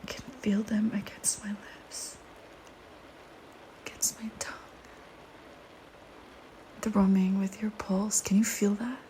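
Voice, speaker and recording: A woman voicing a flirty, teasing, predatory female vampire in an intimate audio roleplay, with playful banter and breathy murmurs. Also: mid-range voice, level tone, takes it slowly, long pauses, dark tone, in a whisper, natural voice, poor audio. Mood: seductive, hungry.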